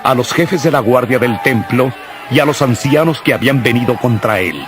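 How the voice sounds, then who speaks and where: A man narrating scripture from a script in a solemn, measured manner. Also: mid-range voice. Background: music.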